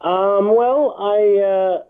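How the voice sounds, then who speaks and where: A man's voice: high-pitched, extremely slow, smooth, dry recording. A man talking hesitantly and self-consciously as a phone-in guest on a casual radio show. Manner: awkward, hesitant, self-deprecating. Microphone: phone call. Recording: muffled phone-line sound.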